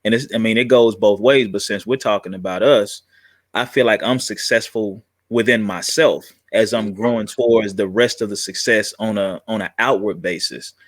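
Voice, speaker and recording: Late 30s guy, lowish voice, earnest, thinking out loud, dry mic. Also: no echo. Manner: earnest, reflective, halting restarts. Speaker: podcast guest. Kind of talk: casual chat.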